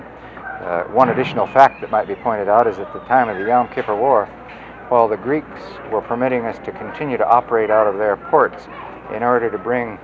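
A man campaigning for office and answering a voter's question in measured political phrasing, with a few stumbles. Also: lowish voice. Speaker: political candidate. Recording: lo-fi outdoor recording with street noise.

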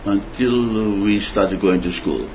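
An older man telling his memories in an interview, hesitant and pausing, with many fillers and repeated words. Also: on the low side, a little hiss or hum. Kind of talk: oral history interview. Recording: dull lo-fi recording.

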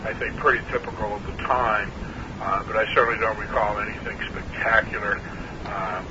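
A man's voice: fairly low pitch, steady tone, normal speed, dull audio, noisy background. A man in his sixties from New Hampshire, recalling his life in an oral history interview, hesitant with many fillers and a frog in his throat. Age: 60s.

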